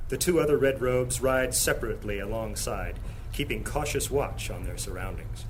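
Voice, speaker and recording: A man's voice: medium pitch, moderate pace, slight background noise. A man narrating a fantasy audio drama in a scripted, dramatic storytelling style.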